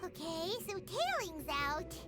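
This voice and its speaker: Tiny squeaky game fairy, super high n bouncy, childlike, always curious, music behind. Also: female voice, sing-song, slow pace, heavily acted. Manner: refers to self in third person, inquisitive. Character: small childlike floating fairy companion, curious and excitable. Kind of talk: video game voice acting.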